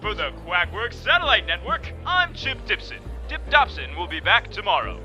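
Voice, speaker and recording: A man's voice: high-pitched, wildly swooping pitch, normal speed, loud music behind the voice. A man playing a slick cartoon TV news anchor, giving a formal, polished parody news report. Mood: smug, upbeat. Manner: formal, polished announcer delivery.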